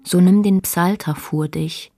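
An actress playing a solemn, contemplative woman in a poetic radio play, reciting in a measured, meditative manner. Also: on the low side, steady tone, mellow, soft background music. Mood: solemn, instructive.

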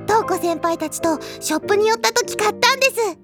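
A woman's voice: very high voice, fairly quick, over music. A woman playing a cute younger schoolgirl, polite and playful, in an anime-like Japanese audio drama. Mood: cheerful. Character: cute, teasing younger schoolgirl masking her true feelings.

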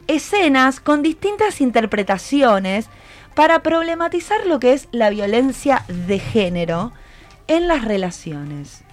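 A woman with a Rioplatense accent hosting a radio show, asking students guiding questions and affirming their answers. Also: a little high. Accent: Rioplatense Argentine. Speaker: radio host. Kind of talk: interviewing students, explanatory radio talk.

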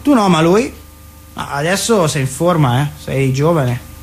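High-pitched, sardonic old professor. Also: male voice, expressive, unhurried, faint music behind. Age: older. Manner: ironic, teasing, theatrical shifts. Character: sardonic old retired teacher, bitter yet teasing. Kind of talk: naturalistic radio drama acting.

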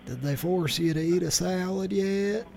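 Unhurried gravelly man, deadpan prank caller, faint hiss behind. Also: high-pitched, soft background music. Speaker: prank call show host. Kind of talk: live prank call show.

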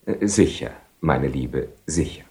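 An actor playing a trapped, bitterly resigned husband in a radio play, speaking in a brooding, rhetorical, ironic manner. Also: male voice, extremely low pitch, animated, slow, creaky, dark tone, full character voice. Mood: subdued, evasive. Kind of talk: 1970 radio play acting.

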